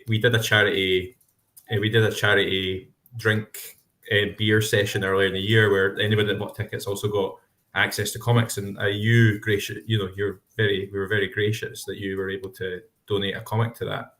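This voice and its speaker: A man's voice: fairly low pitch, little pitch movement, a little fast, fairly bright tone. A man co-hosting a podcast in casual chat over a video call, rambling through restarts and asides. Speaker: podcast co-host. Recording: echoey room.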